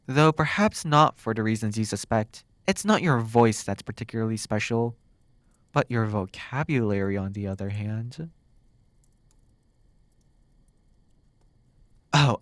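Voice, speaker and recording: Casting: male actor as a sardonic, flirtatious demon for ASMR roleplay, mid-pitched, intimate, teasing with lingering pauses, recorded close and dry. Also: a bit bright, no echo, lightly acted. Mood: teasing, amused. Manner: teasing, sardonic, drawn-out words with dramatic pauses. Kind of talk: ASMR roleplay, intimate one-sided dialogue.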